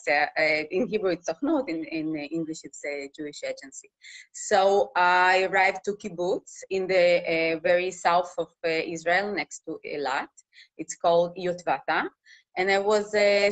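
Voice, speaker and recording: A woman in her thirties with a Russian accent, speaking English as her second language. She talks at a middling pitch in a halting way, full of fillers and restarts, heard over a video call. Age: 30s. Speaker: guest, news reporter. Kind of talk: casual interview conversation.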